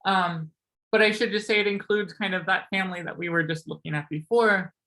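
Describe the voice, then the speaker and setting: A man's voice: high voice, fairly even intonation, fairly quick, bright, forceful tone, rough recording. A young man with a slightly sore voice giving a casual math seminar talk, full of ums and likes. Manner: casual, many ums and likes, self-correcting. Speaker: seminar speaker.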